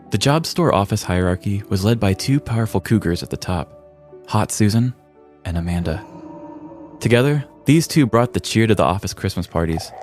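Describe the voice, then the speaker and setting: A man's voice: deep voice, a little mellow. An American man hosting a podcast, reading a scripted comedic story with arch, theatrical mock-seriousness. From United States. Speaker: podcast host.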